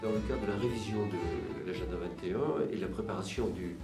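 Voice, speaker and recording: A man giving measured interview answers as a local official, halting and searching for words. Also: deep voice. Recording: roomy, distant mic, music behind. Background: music.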